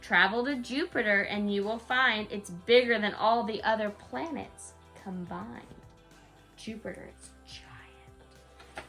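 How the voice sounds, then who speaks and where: A woman with a fairly high voice reads a rhyming picture book at bedtime. Her delivery is sing-song and aimed at a small child, slipping into casual, expressive asides. Soft music plays behind her. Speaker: parent reading a picture book aloud to a young child. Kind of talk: bedtime story read-aloud with casual asides.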